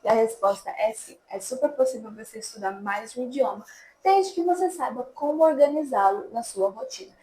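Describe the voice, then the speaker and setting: A woman's voice: fairly high pitch, a little fast, soft background music, rough recording. A young woman vlogger giving casual, chatty language-study advice straight to her viewers. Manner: chatty, lively, addresses viewers as gente. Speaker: language-learning YouTuber. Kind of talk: casual talking-to-camera advice.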